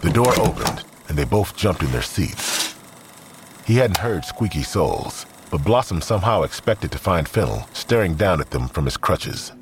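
A man narrating a scripted audio drama in a measured, suspenseful, storytelling manner. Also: deep voice, very animated.

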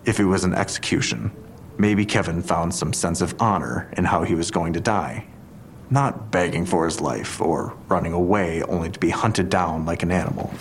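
A police detective in an audio drama. His voice sits very low and he reasons in a deliberate, analytical way. A faint rumble runs underneath. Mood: measured, speculative.

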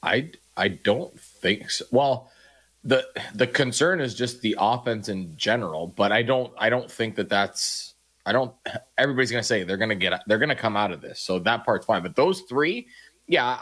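A man's voice: medium pitch, a bit bright. A man co-hosting a sports radio show, talking casually and restarting his sentences often as he argues his points.

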